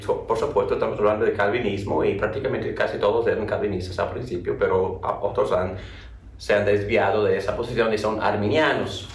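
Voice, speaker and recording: A man from North Carolina teaching a theology class and opening with a prayer, speaking haltingly with many restarts and repeated words. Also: medium pitch, slight background noise. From North Carolina, USA. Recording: echoey room, voice from far off.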